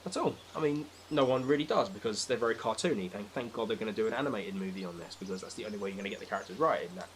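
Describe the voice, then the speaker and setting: British guy with a lowish voice ranting about stuff on a podcast, sweary, bit of noise behind him. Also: rapid-fire, hardly any pauses. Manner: emphatic, sweary, exasperated ranting. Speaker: podcast speaker. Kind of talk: casual opinionated film discussion.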